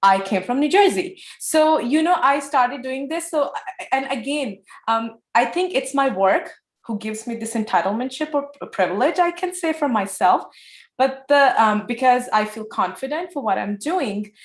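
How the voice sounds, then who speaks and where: A woman in her forties moderating a panel discussion, lively and acting out bits of dialogue. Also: a little high, normal speed. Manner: lively, acts out dialogue in changing tones. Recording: echoey room sound.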